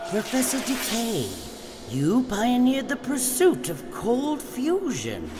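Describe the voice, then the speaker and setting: A woman's voice: fairly high pitch, huge pitch swings, slow pace, heavily acted. A woman voicing a grave otherworldly elder in a puppet fantasy drama, formal, solemn and reproving. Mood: stern reproach. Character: stern, grave otherworldly elder scolding failed guardians. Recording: long hall reverb, music underneath.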